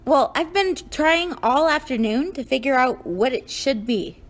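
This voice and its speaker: Woman playing a high, lively, fretful girl puppet. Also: moderate pace. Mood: musing, fretful. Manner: fretful, chatty, musing aloud. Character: anxious, self-conscious young girl puppet named Susie. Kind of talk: children's puppet show dialogue.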